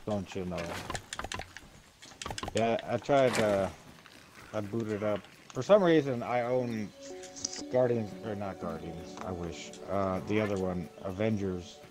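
A man's voice: lowish voice, takes it slowly, long pauses, over music. A man chatting casually while gaming, rambling and swearing freely. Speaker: gamer talking while playing. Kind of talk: casual chat. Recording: clearly noisy game-session mic, clicks.

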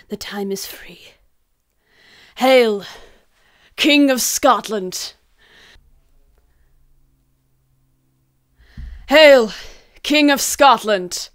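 A woman playing a fierce, vengeful warrior thane in a Shakespearean radio drama, proclaiming each line deliberately. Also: on the high side. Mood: solemn triumph.

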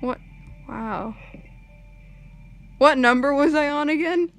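A young woman giving casual, excitable live reaction commentary on game cutscenes, full of exclamations. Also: high voice, slow, poor audio. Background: game cutscene music.

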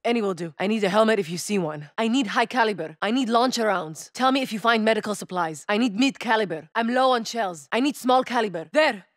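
A woman's voice: a little high, animated, fairly quick, hardly any pauses, no echo, studio quality, natural voice. A woman voicing a terse female game soldier. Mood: calm. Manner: clipped, terse callouts. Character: tough young female soldier, terse and steady. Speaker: soldier operator in a shooter game. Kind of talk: game voice lines.

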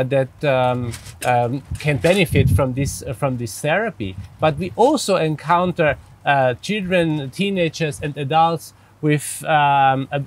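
Austrian psychologist guy in his 40s explaining stuff slowly, lots of um, a bit higher voice, outdoors. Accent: Austrian German. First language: German. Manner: measured and careful, many um and uh fillers. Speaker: psychologist guest. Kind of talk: interview answers. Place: outdoors beside a pool.

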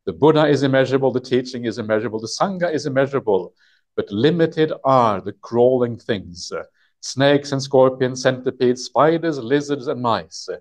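A middle-aged man, a Buddhist monk, giving a relaxed dharma talk and answering questions, often chuckling and hesitating with many uhs. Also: mid-range voice, normal speed. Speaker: Buddhist monk teaching a sutta retreat. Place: meditation hall with shrine, indoors.

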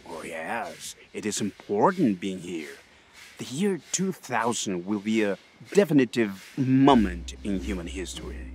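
Male actor as mystical shaman, lively melodic range, measured, solemn, audio drama. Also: fairly low pitch, a little hiss or hum. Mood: earnest, proud. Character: earnest Mexican shaman and history teacher, male.

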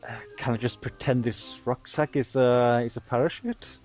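A man's voice: medium pitch, steady tone, normal speed, darkish tone, soft background music. A man whose native language is Norwegian, commenting on a game he is playing in a hesitant, rambling way. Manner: rambling, hesitant, laughs often. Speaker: game streamer. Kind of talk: let's play commentary.

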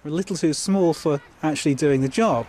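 A man's voice: on the high side, normal speed, a crowd in the background. A man explaining things on location as an archaeologist. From England. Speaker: archaeologist, interviewee. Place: outdoors at a castle. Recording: other voices overlapping.

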